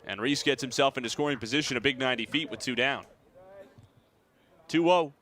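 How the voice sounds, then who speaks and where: A young American man calling baseball play-by-play in a measured, articulate way. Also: mid-range voice, a bit bright. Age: young adult. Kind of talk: sports play-by-play commentary.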